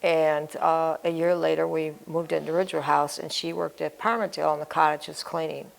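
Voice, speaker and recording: An older woman with an American accent tells her own story in an interview. Her voice sits low and is heavily creaky and gravelly. She speaks plainly, in short clipped fragments. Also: little pitch movement, normal speed, rough recording. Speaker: interview guest. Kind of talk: personal life-story interview.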